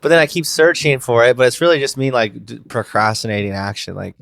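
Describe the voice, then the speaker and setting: Man, podcast co-host. Mid-pitched, dry close sound, blunt casual talk that drifts off mid-thought. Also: expressive, fast talker, vocal fry, a bit bright, no echo. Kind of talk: casual conversational podcast chat.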